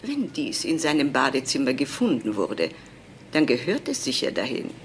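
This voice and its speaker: Radio crime play: devout, assured lady, deep voice, expressive swings, firm delivery. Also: normal speed, a little hiss or hum. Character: pious, self-assured woman, family friend. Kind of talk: German radio crime drama acting.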